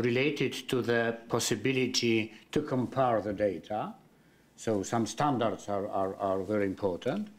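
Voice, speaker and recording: Man with fillers on a panel, middle pitch. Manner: measured, many fillers, asks "yes?". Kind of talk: panel discussion remarks.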